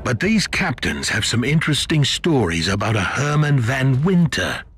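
A roguish male space captain for a video game, a wily gossip with theatrical courtesy. His pitch swings freely as he talks, with music underneath. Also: big pitch swings, moderate pace, a bit bright. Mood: sly, gossipy.

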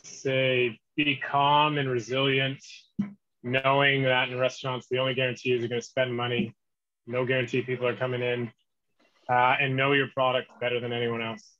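A man's voice: mid-range voice, flat delivery, normal speed, bright, forceful tone, dry recording. A man giving concise advice as a panellist in a discussion. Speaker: restaurant industry panellist. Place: indoors on a video call. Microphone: video call. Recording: dry, slightly dull video-call audio.

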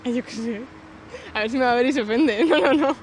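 A woman's voice: on the high side, fast, slight background noise. A young woman in a street interview, giggling and unsure, speaking into a handheld mic. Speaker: passer-by. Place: city street outdoors. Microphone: handheld mic held by interviewer.

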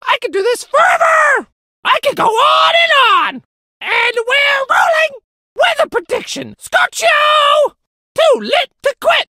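An actor voicing a cocky, hot-tempered phoenix crow in a video game, with brash, taunting one-liners in a strained, shrill voice. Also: sing-song, slow, bright, forceful tone, no room sound, raised voice, heavily acted. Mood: cocky, fired up. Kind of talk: exaggerated video game character voice lines.

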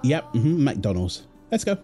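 A man's voice: mid-range voice, very animated, a little slow, dark tone, soft background music. A man playing a tender, playful young husband and doting father in an ASMR roleplay, soothing and teasing as he talks. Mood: affectionate, teasing. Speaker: voice actor of a roleplay audio. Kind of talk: ASMR boyfriend roleplay, acted one-sided dialogue.

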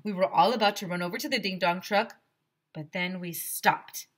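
A middle-aged woman reading a children's story aloud like a teacher, expressive and dramatic. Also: lowish voice. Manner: expressive, dramatic, pauses to explain words. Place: small decorated room indoors. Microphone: headset microphone.

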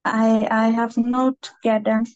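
A woman from Somalia with a Somali accent, a beginner learner answering test questions in halting English. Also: a little high, level tone, darkish tone, dry recording, highs cut off. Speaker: student candidate.

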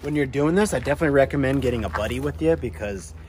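A man's voice: mid-range voice, rapid-fire, a little hiss or hum. A man in his thirties giving a casual how-to talk to camera outdoors. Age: 30s. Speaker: motorcycle vlogger explaining gear. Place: outdoors, driveway and forest trailside.

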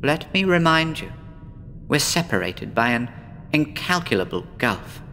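Guy doing a gloomy young woman patient, slow and brooding, echoey horror radio play. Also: fairly high pitch, very animated, creaky, pushed and bright, slight background noise, exaggerated voice. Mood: defiant. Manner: slow, brooding, poetic and weary. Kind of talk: horror audio drama acting.

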